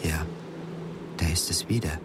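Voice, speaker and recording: Low-voiced, measured male narrator. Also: very noisy. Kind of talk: scripted literary radio drama narration.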